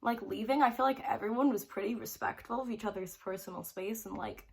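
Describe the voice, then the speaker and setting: A woman's voice: fairly high pitch, fairly quick, slight background noise. A teenage girl vlogger casually recounting a story to camera, bubbly and rambling, with frequent "like" fillers and giggles between phrases. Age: teens.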